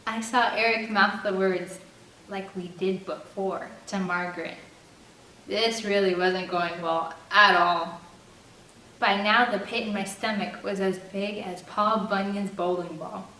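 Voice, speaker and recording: A woman's voice: medium pitch, measured pace. Woman reading aloud in an echoey room. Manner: expressive first-person narration. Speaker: audiobook reader. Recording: echoey room, distant mic, faint air-conditioner hum.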